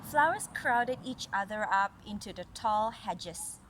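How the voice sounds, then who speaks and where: A woman reading a picture book aloud to a young child, with gentle, encouraging, chatty asides to explain the pictures. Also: medium pitch, lively intonation, a little slow. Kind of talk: casual read-aloud storytelling with chatty asides. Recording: noisy recording with vehicle-like background hum.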